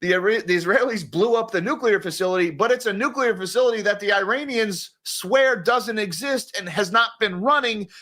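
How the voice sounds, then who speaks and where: Brief: male stream host, high and bright voice, ranting and exasperated. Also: fairly quick, few pauses. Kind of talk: live-stream news commentary.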